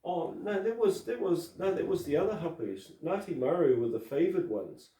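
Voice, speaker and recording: Co-host, male, from New Zealand, mellow voice, stop-start delivery, echoey room. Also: fairly high pitch, lively intonation, moderate pace, recorded from a distance. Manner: hesitant, many fillers and restarts. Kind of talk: casual political chat. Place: Christchurch.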